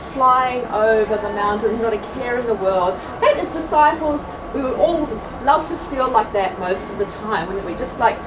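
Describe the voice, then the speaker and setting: A woman with an Australian accent presenting a class to an audience at a church event, chatty and quick to laugh at herself. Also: a little high. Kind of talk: live talk to a class. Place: large room with an audience. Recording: echoey hall from far off, crowd noise.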